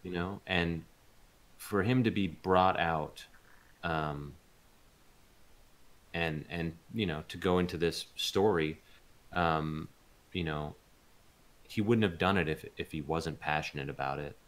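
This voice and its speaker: A man chatting casually as a voice actor being interviewed, thoughtful and halting as he searches for words. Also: deep voice.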